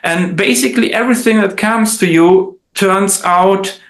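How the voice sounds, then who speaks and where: Guest slot: German-native man, somewhat high voice, halting speech full of restarts, recorded over a video call in a roomy, echoing space. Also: a bit bright. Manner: halting, frequent restarts and repeated words. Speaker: translator, interview guest. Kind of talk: casual conversation.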